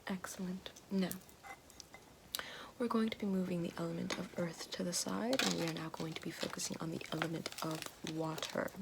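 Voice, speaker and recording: Hushed woman, lower-pitched, over noisy clinking. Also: fairly even intonation, gravelly. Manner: slow, hushed, often slipping into whispers. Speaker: ASMR host. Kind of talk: soft-spoken ASMR roleplay, guiding a grounding exercise.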